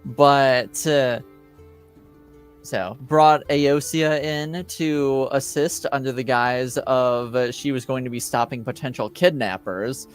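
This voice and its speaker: A man casually recapping a tabletop roleplaying session as game master, haltingly, searching for his words. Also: medium pitch, steady tone, smooth, soft background music.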